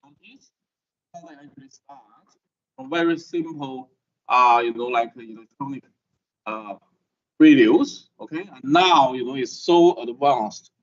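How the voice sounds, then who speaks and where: A middle-aged man lecturing a class, with a halting manner and constant "okay?" checks. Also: medium pitch. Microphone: video call. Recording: dull lo-fi video call audio.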